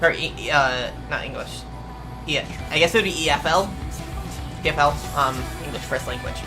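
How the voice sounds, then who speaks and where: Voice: medium pitch, normal speed, rough recording. A young adult VTuber streamer chatting casually and answering viewer questions, rambling with lots of ums and the odd swear. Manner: rambling, hesitant, many fillers, mild swearing. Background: music playing.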